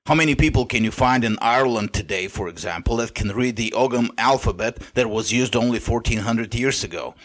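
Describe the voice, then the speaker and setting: A man with a Bulgarian accent, whose first language is Bulgarian, hosting a podcast and lecturing in a measured monologue with occasional restarts. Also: mid-range voice, fairly bright tone. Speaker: podcast host. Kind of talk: monologue commentary.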